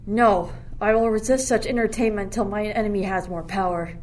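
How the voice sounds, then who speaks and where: A woman's voice: medium pitch, fairly even intonation, normal speed, natural voice, rough recording. A woman playing a lovestruck, defiant young shipwrecked royal in a Shakespearean radio play, earnest and declamatory. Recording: faint background noise.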